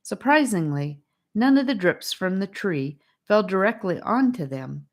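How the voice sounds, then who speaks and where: A woman reading a children's fantasy audiobook, telling the story gently and expressively. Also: mid-range voice, very animated, unhurried. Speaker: audiobook narrator.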